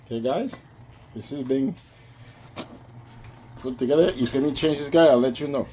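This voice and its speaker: Man, home cooking vlogger, chatty and casual, mid-pitched, faint noise behind. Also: moderate pace. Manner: chatty, keeps addressing viewers as guys.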